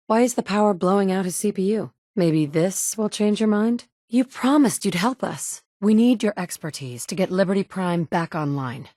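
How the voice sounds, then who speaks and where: A woman's voice: medium pitch, darkish tone, no room sound, natural voice. A woman playing a determined mother turned hardened wasteland survivor, speaking firm, direct lines of game dialogue. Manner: firm, direct, dry and commanding. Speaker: player character voice. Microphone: studio mic, close.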